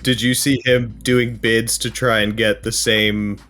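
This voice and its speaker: A man with a somewhat low voice puts questions to a fellow panelist. The style is conversational, as in a case discussion. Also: level tone, measured pace, bright, forceful tone, soft background music.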